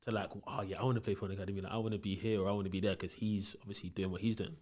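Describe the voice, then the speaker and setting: A man hosting a podcast, asking questions in a relaxed way and often agreeing along. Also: low-pitched, racing. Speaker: podcast host. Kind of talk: casual podcast interview.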